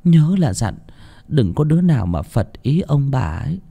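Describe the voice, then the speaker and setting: A man's voice: medium pitch, very animated, normal speed, gravelly, dark tone, breathy edge, voice pushed up, heavily acted. A man voicing a weary older woman giving stern orders. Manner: scolding, weary.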